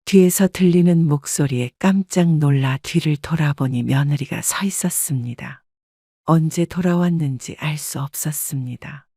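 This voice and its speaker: A woman narrating a first-person family story in a measured, subdued reading. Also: low voice, warm tone, no room sound, clean studio sound.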